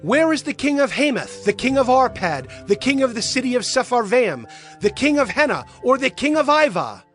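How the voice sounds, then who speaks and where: A man performs a boastful Assyrian king in an audio scripture drama. The voice is pitched high and declaims its threats with taunting menace. Faint music plays underneath. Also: sing-song, moderate pace, fairly bright tone, full character voice.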